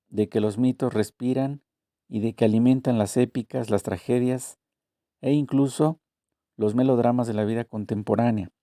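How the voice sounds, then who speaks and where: Deep, mellow man reading measuredly. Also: dry recording, studio quality. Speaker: reader voicing both interviewer and author. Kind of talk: narrated reading of a translated interview.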